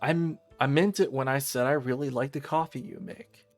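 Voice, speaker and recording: A man voicing an excitable, friendly young woman in a visual novel, with an eager, questioning manner. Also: mid-range voice, normal speed, soft background music, natural voice. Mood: reassuring.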